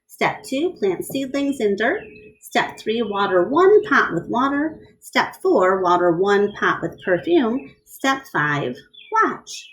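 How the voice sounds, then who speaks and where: A woman reading a picture book aloud to children in an expressive style, pausing to question and explain things to her young listeners. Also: fairly high pitch, rough recording. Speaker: read-aloud storyteller.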